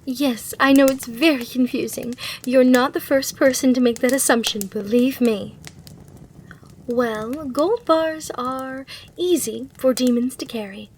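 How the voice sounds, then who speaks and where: A sly demon hotel clerk played by a woman speaks in a high female voice for an ASMR roleplay. She is courteous and soothingly salesy, as if serving a guest at the front desk. A faint hiss sits behind her. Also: measured pace. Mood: apologetic, patient. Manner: courteous, reassuring customer-service patter.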